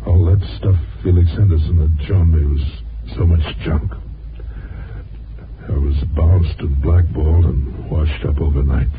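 Washed-up bitter reporter, old radio play, noisy phone-like recording. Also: male voice, very deep voice, steady tone, a little slow. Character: bitter, disgraced washed-up newsman recounting his downfall. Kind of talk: 1950s radio drama acting. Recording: noisy, narrow-band 1950s radio recording.

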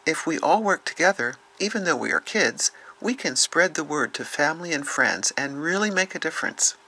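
An enthusiastic fifth-grade boy from a children's puppet show , acted by a woman. The voice is husky and bright, reading scripted lines with youthful keenness. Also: sits low, animated, moderate pace, exaggerated voice, low-quality recording. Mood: earnest.

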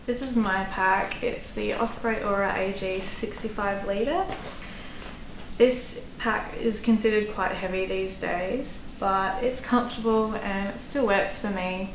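A woman's voice: mid-range voice, fairly even intonation, unhurried. An Australian woman in her thirties giving casual, practical hiking-gear advice to camera, chatty with fillers, recorded in a small room. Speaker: hiking vlogger. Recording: roomy echo, faint background noise.

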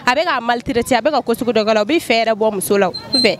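A woman's voice: a little high, fast talker, some chatter behind. A young woman with a Malian accent, answering a street interviewer with chatty, giggly replies, outdoors on a handheld mic. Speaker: passer-by.

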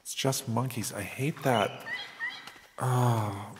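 A man's voice: medium pitch, fairly even intonation, slow. A man acting in an improvised radio play as a nervous, hesitant, complaining companion lost in the jungle. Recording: long reverb, clear background noise.

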